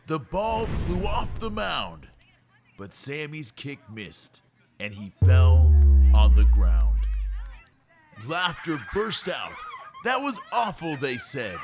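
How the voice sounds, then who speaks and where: A man reading a rhyming children's story aloud in an expressive, measured storytelling way. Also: mid-range voice, noisy background. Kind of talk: rhyming scripted narration for kids.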